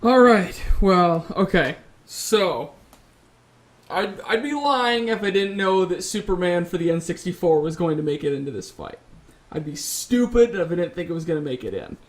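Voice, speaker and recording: A man's voice: sits high, expressive, normal speed. A man moderating a casual panel discussion, speaking in an informal, conversational way.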